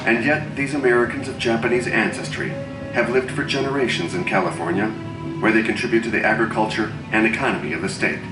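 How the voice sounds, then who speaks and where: A man's voice: on the low side, echoey room. A man giving formal, scripted documentary narration. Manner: formal, measured. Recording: distant TV audio with music behind.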